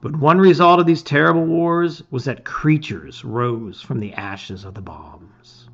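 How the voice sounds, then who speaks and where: A middle-aged man presenting and reading aloud with expressive emphasis, his phrases often trailing off softly. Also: on the high side. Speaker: host reading a gamebook aloud. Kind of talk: casual intro and dramatic read-aloud of storybook narration. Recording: slightly dull, lo-fi sound.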